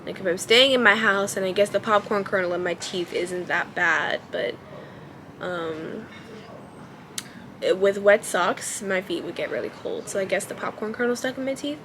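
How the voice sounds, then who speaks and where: A young woman with a light, childlike voice, pitched at an ordinary middle height, talks in a relaxed way at home. She hesitates and fills her pauses with ums as she thinks aloud. A faint hum sits under her voice. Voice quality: childlike, baby-ish voice. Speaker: vlogger. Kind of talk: casual talk to camera.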